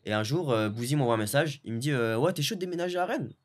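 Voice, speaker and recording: A young man from French Guiana, a video editor, chatting in a relaxed way with lots of fillers and restarts. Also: mid-range voice, fast talker. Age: young adult. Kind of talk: casual interview chat.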